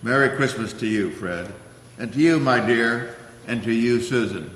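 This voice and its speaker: An actor playing a kindly, grandfatherly old Santa Claus in a radio play, gently fussy in the way he talks. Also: mid-range voice, moderate pace, echoey room, long reverb tail, close to an everyday voice, poor audio.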